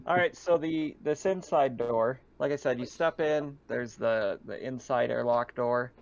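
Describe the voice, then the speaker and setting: A man with a creaky, medium-pitched voice runs a tabletop roleplaying game. He explains scenes conversationally and casually. The recording sounds slightly dull and lo-fi. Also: unhurried. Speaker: game master.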